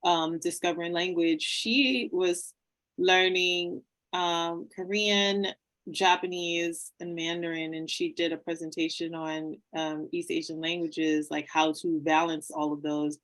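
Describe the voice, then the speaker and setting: A woman's voice: fairly low pitch, little pitch movement, a little slow, a bit bright. A woman hosts a casual interview, asking long, rambling questions full of little restarts. She is self-deprecating and laughs often as she talks. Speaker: podcast host.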